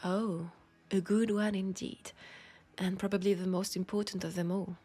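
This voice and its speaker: A woman with a French accent playing a seductive, world-weary female vampire in an intimate audio roleplay, speaking softly and deliberately, often slipping toward a whisper. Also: medium pitch, little pitch movement, breathy edge, soft background music, natural voice. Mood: frank, earnest.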